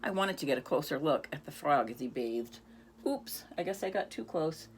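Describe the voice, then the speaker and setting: A woman's voice: low-pitched, expressive, normal speed, a little hiss or hum. A woman reading a picture book aloud expressively, now and then speaking to young listeners. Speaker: reader.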